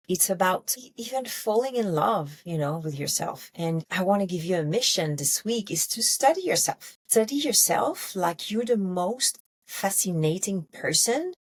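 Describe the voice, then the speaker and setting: A woman's voice: sits low, dry recording. A French woman speaking English with a French accent, giving an intimate, candid and encouraging solo motivational talk as a podcast host. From France. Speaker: wellness podcast host and coach.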